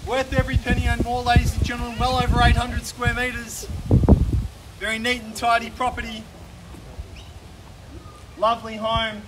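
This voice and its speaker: An Australian man in his thirties works a crowd at a house auction, his voice riding unusually high as he pushes the bids along in quick, coaxing patter. He is caught from well back by a camera on a suburban street. Heavy traffic and street noise press in around him. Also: completely flat delivery, distant mic. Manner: brisk persuasive auction patter, repeating the figures. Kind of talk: live auction calling. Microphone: camera filming from some distance, no mic seen.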